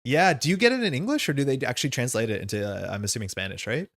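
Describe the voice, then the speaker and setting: A man's voice: mid-range voice, lively intonation, very fast, a bit bright, no echo. Rambling man, dry close sound. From Canada. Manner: rambling, thinking aloud. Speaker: live streamer playing a card game. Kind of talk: casual live stream commentary.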